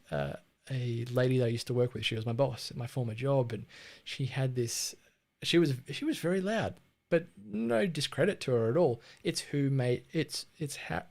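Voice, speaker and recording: A man's voice: mid-range voice, vocal fry, darkish tone. A man with an Australian accent hosting a casual livestream on branding, chatty and laid-back, with frequent ums and restarts. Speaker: livestream host teaching branding.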